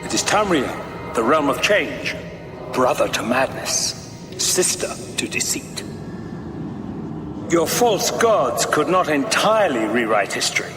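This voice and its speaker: An actor playing a grandiose, zealous cult leader preaching dark prophecy in a pompous, measured, oratorical way for a fantasy game. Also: male voice, medium pitch, very animated. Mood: grandiose, insistent. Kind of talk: theatrical fantasy game monologue. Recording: echoey hall reverb, music behind.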